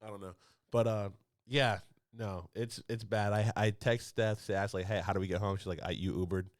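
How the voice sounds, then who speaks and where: A young man with a low voice, talking loosely and rambling on a podcast. The sound is close and dry, with no room around it. He laughs between thoughts and keeps restarting his sentences. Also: steady tone, moderate pace, dry recording. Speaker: podcast co-host. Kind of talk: casual chat. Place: podcast studio.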